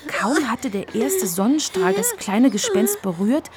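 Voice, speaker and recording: Lively woman narrator with creaky voice. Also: high voice, unhurried. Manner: expressive storytelling delivery. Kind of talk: scripted children's story narration. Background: music.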